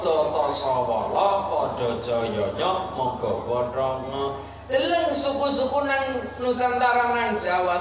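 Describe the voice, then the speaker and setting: A man with a Javanese accent preaching a folksy Islamic sermon, emphatic and repetitive, with rhetorical questions and Quran quotes. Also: high voice. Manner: emphatic, pausing, repetitive delivery. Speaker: preacher at an Islamic study gathering. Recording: echoey PA reverb, distant, noisy gathering.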